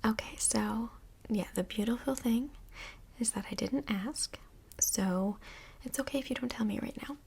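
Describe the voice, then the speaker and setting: A woman playing a polite, busy stand-in couples counselor in Hell in a soft-spoken ASMR roleplay, with a breathy, whispery voice and measured, tactful, professional phrasing. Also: on the high side, exaggerated voice. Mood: polite, flustered.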